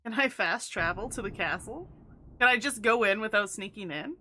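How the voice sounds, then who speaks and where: A woman's voice: mid-range voice, expressive, moderate pace, sharp tone, a little hiss or hum. A woman streaming a video game, chatting casually and playfully, often laughing at herself. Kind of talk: casual gameplay commentary.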